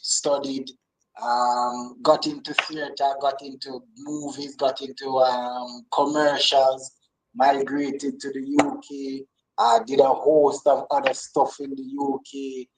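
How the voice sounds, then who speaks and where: Male, Jamaican accent, mid-pitched voice, emphatic interview guest who repeats himself in easy conversation. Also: little pitch movement, takes it slowly, poor audio. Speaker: guest, actor and comedian. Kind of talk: casual interview chat.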